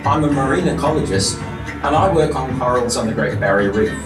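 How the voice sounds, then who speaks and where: A man's voice: mid-range voice, fairly quick. An older man, a marine ecologist giving a scripted exhibit introduction. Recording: roomy, distant, loud music over it. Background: loud music in exhibition pavilion.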